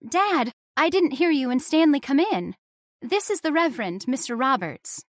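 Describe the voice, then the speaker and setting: A woman's voice: high-pitched, sing-song, moderate pace, no echo, clean studio sound. A woman playing a poised young American daughter, speaking politely and formally in an old-time radio drama style. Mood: polite, gracious. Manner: polite, formal, well-spoken.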